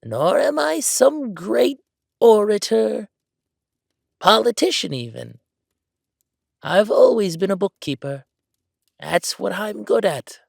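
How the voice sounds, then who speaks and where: High-pitched, smug count. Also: male voice, big pitch swings, creaky, no echo, clean studio sound, exaggerated voice. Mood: proudly assured. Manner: taunting, grandly self-assured, drawn-out phrasing. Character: bold, smug bookkeeper count, calculating and theatrical. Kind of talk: villain audio roleplay.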